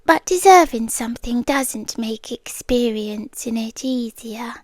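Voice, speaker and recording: An obsessive, romantic young kidnapper speaks in a high, soft whisper with a British accent, as a woman acts her out for ASMR. She muses slowly and calmly. The sound is close and dry, with no room around it. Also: no room sound. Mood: brooding. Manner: soft whispering, slow musing monologue. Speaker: ASMR roleplay creator. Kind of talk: ASMR roleplay.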